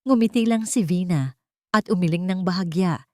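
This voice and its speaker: A woman reading a scripted fiction story aloud with dramatic, expressive delivery. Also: lowish voice, moderate pace, dry recording, clean studio sound. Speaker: audio story narrator.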